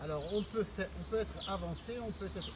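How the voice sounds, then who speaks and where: High-voiced Tunisian man over clear noise. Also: fairly quick. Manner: explanatory, repeats words for emphasis. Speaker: artist and cultural centre owner showing a visitor around. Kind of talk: spontaneous guided tour. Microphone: visitor's handheld phone. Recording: noisy phone recording on location.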